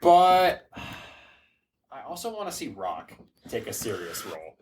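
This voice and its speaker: A man's voice: mid-range voice, wildly swooping pitch, unhurried. Man in casual podcast chat, faint noise. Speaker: podcast co-host.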